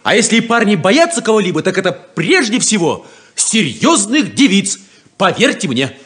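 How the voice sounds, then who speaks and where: A naive, excitable young farm brother for a 1970s radio play. The voice is high and boyish, with wildly swinging, emphatic intonation. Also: male voice, moderate pace. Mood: excited, cocky.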